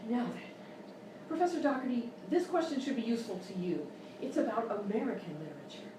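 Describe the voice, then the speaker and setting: A woman's voice: on the high side, lively intonation, a little fast, natural voice. A woman playing an exasperated, condescending quiz show host in a live radio-style comedy sketch. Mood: prodding. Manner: incredulous, scolding, mock-polite. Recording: very echoey live room, far mic, noisy.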